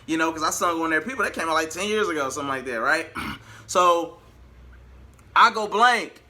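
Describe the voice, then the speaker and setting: Bright, high-pitched man telling a story. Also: moderate pace. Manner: animated, colloquial, acts out dialogue. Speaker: vlogger and singer. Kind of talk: casual storytelling vlog.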